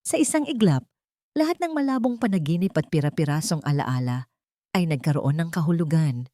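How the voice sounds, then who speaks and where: Female storyteller, slightly low register, dramatic scripted delivery with measured pauses, dry studio-style sound. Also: expressive, mellow, no room sound. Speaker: storyteller narrator.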